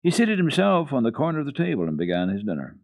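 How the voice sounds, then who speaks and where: A man's voice: mid-range voice, wildly swooping pitch, quick pace, a little mellow. A man giving a dramatic, folksy reading of a children's book as a radio storyteller, on a muffled archival recording. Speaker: storyteller, radio program host.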